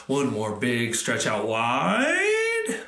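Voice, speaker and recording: A man's voice: mid-range voice, huge pitch swings, extremely slow, pushed and bright, reverberant. A man hosting a storytime for children, talking simply and encouragingly as he leads activities and tells stories. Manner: encouraging, simple instructions addressed to young children. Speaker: library storytime host.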